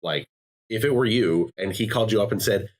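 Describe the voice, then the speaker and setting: Male podcast co-host, deep voice, rambling casual delivery. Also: brisk. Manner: rambling, many fillers and restarts.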